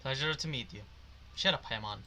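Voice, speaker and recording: A man giving casual, halting gameplay commentary, muttering to himself as he plays a story game. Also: mid-range voice, bright, forceful tone, a little hiss or hum. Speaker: gamer playing through a story game.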